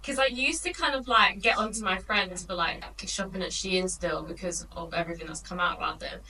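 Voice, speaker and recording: A woman's voice: medium pitch, a little fast, bright, forceful tone, distant mic, a little hiss or hum, low-quality recording. A woman co-hosting a podcast, chatting casually and trailing off mid-thought. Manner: chatty, laughs easily, trails off. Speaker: podcast co-host. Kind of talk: casual chat.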